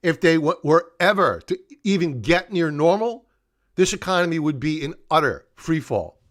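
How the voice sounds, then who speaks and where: A man's voice: fairly high pitch, animated, normal speed, fairly bright tone. A middle-aged man hosting a live market analysis stream, talking off the cuff and explaining in a conversational, stop-and-start way. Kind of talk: unscripted live commentary, chatting to viewers.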